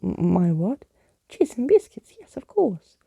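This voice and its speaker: A jaunty, easily startled skeleton gentleman, acted by a woman for a bedtime storybook. The voice is whispery and very creaky, with soft dark tone and quaint, courtly turns of phrase. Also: medium pitch, very animated, measured pace, no echo, studio quality, full character voice. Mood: flustered. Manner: quaint, theatrical old-fashioned exclamations. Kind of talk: whispered storybook character reading.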